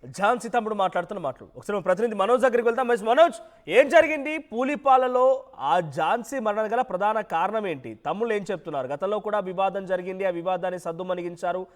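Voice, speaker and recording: A man's voice: high-pitched, expressive, fast. A man presenting live news as an anchor, speaking emphatically and repeating his points and questions.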